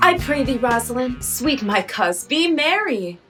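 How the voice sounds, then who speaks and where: A woman's voice: high-pitched, big pitch swings, unhurried, over music. A young woman playing an affectionate, witty duke's daughter, with effusive, playful Shakespearean delivery in a radio play. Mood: tender, earnest. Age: young adult.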